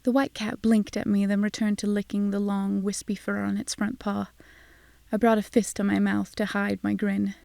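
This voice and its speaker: A woman's voice: medium pitch, flat delivery, normal speed, gravelly, darkish tone, no echo. A woman narrating a scripted first-person audio drama in a wry, sardonic manner.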